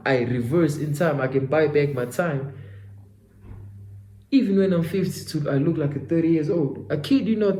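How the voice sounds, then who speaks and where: A young man in his twenties delivers a preachy motivational monologue, circling back to the same phrases again and again. His voice sits a little high and has a mellow, soft-edged tone. The room around him rings with echo. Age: 20s. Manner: preachy, repetitive, addresses himself and listener as "my guy". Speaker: self-development vlogger.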